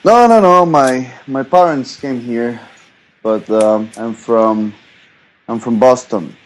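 A man's voice: mid-range voice, takes it slowly, natural voice, poor audio. A man playing a flustered lost traveler. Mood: earnest. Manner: stammering, apologetic, self-conscious laughs. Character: hesitant lost young man arriving for a wedding. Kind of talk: playing a character in a tabletop game.

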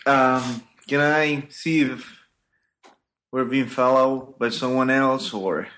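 A man playing a tabletop game, speaking slowly and hesitantly. He sounds careful and cautious. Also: mid-range voice, steady tone, a bit bright. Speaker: player. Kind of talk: casual tabletop play.

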